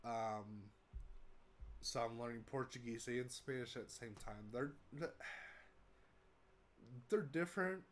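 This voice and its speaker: A congested young man from the United States, stuffy from a cold. He talks to the camera in a loose, rambling way, with plenty of ums, uhs and restarts, and his pitch sits in an ordinary middle range. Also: slow, long pauses, a bit bright, slight background noise. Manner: rambling, many fillers and restarts. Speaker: vlogger. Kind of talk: casual update talk to camera.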